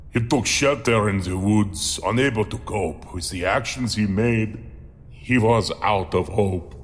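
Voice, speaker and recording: A man playing a monstrous man-eater, reciting a grim rhyming memoir in a slow, theatrical, deliberate manner with long reverb. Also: lowish voice. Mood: mournful. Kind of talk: theatrical rhymed storytelling.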